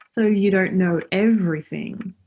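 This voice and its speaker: Woman as Laura, chatty, slightly husky and cheeky. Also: mid-range voice, measured pace, phone-quality sound. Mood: friendly. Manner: informal, rambling, forthright. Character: Laura, chatty, cheeky, friendly young woman befriending Death. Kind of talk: radio play acting.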